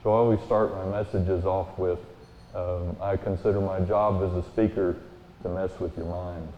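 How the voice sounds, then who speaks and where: A man with a booming voice giving a guest sermon in a church, telling stories in a folksy, relaxed way with many fillers. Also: normal speed, slight background noise. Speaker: guest speaker. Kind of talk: sermon with personal story. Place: church sanctuary. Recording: echoey hall, tinny phone-like sound.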